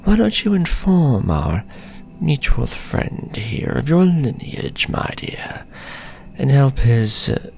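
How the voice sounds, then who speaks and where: Male actor as aristocratic vampire lord, hugely varied intonation, haughty, possessive, formal drawn-out phrasing, fantasy audio roleplay, slight background noise. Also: medium pitch, wildly swooping pitch, gravelly, a little mellow, heavily acted. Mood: smug.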